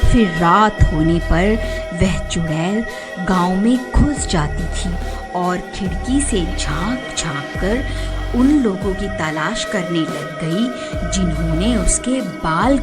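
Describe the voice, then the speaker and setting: A woman's voice: lowish voice, animated, slow. A woman reading scripted horror story narration as the storyteller. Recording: music behind the voice.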